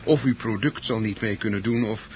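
A man's voice: on the low side, moderate pace, close to an everyday voice. An actor playing an officious club chairman in a radio play, formally polite, hesitant and evasive, hemming his way through apologies. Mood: apologetic. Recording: dull, slightly noisy old radio recording.

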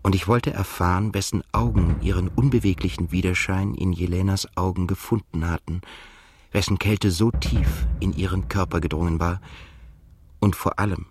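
An actor playing a reflective, melancholy male writer who narrates his own story in a radio drama in measured, literary phrases. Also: sits low, fairly even intonation, noisy background, close to an everyday voice. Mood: introspective, melancholy.

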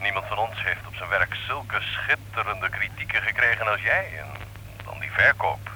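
Radio drama phone caller: polite, flattering club treasurer, very forceful bright tone, lively intonation. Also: male voice, lowish voice, normal speed, a little hiss or hum, rough recording. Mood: courteous, flattering. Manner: polite, deferential, chummy. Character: courteous, flattering male club treasurer on the telephone. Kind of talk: radio play acting.

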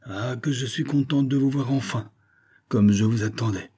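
In this storybook reading, a plucky young woman gasps out her words, high-pitched and urgent, as a man puts her on. The sound underneath is dark and slightly husky. She presses on, bold despite being out of breath. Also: moderate pace. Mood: urgent, breathless. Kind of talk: audiobook character voice.

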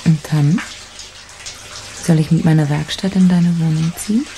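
An actress playing a teasing, self-possessed lover in a radio crime drama, speaking with intimate, wry ease. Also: female voice, low voice, normal speed, warm tone.

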